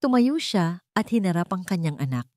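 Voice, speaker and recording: Mababang boses ng babaeng Pilipinang tagapagsalaysay. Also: female voice, lowish voice, sing-song, brisk, a little mellow, no room sound, clean studio sound. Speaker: audiobook narrator. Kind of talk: dramatic scripted story narration.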